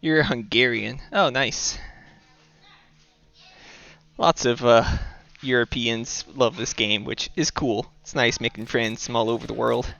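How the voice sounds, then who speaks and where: Young guy from the us east coast, gravelly voice, chill game commentary, dull mic with faint music under it. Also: mid-range voice, measured pace. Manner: relaxed, talks through his moves and reads chat aloud. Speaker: streamer playing a board game. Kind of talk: casual commentary while playing.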